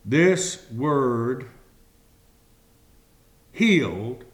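A man preaching a communion sermon slowly and deliberately, pausing between phrases. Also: mid-range voice, sing-song. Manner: slow and deliberate, with long pauses.

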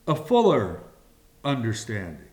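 A man preaching a communion sermon slowly and deliberately, pausing between phrases. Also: medium pitch, wildly swooping pitch. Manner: slow and deliberate, with long pauses.

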